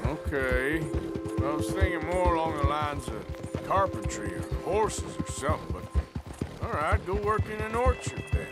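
An actor playing a gruff, protective American outlaw cowboy in a video game, with a dry, sardonic, folksy way of talking. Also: male voice, fairly high pitch, animated, normal speed, natural voice. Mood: wry. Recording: loud music and horse hooves behind. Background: music, horse hooves.

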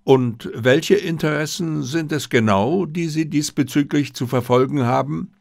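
A man reading the part of a confident, gently mocking detective with an assured, lecturing manner in an audiobook. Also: fairly high pitch, a little slow, creaky, no room sound, clean studio sound, pitched above the performer's own voice. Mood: dry, lecturing.

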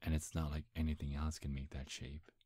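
A very low, intimate voice, recorded close and dry, belongs to a seductive demon acted by a man. He flirts and teases slyly, stumbling coyly over his words in an ASMR roleplay. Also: level tone, fairly quick, warm tone, no room sound. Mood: sly, teasing. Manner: flirtatious, sly, hesitant stammers. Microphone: close, dry microphone.

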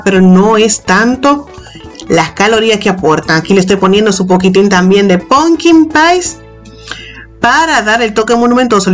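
A middle-aged woman hosting a home cooking show, talking playfully through a recipe and joking with her viewers. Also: medium pitch. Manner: playful, jokey, affectionate nicknames for viewers. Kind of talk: playful recipe voice-over and tasting. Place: home kitchen.

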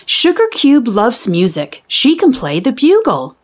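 A woman reads aloud for young children in a fairly high voice with very lively swings of pitch. She takes her time, enunciating each phrase deliberately like someone teaching early readers. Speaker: children's read-aloud host. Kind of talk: expressive storybook reading for young children.

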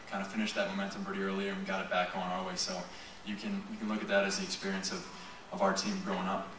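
Young male athlete, postgame presser, even and unhurried, lots of fillers; table mic, caught from across a hall with faint ambience. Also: fairly low pitch, noisy background. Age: young adult. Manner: measured, fills with "you know" and "um". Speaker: college lacrosse player. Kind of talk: postgame press conference answers. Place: press conference room. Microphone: table mic, recorded from a far camera. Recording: roomy echo from far off.

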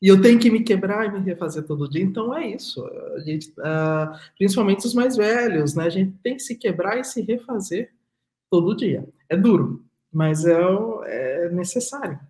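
A middle-aged woman speaks thoughtfully on a webcam from a room at home. She leans hard on key phrases and repeats them for emphasis. Her pitch sits in an ordinary middle range. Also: lively intonation, normal speed, dark tone. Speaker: artist. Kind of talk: panel discussion over video call. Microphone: video call webcam.

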